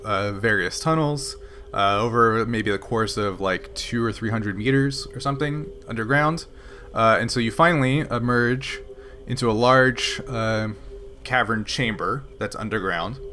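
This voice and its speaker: A man narrating as game master of a tabletop role-playing game, with loose, filler-heavy description. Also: medium pitch. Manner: relaxed, rambling narration with many fillers.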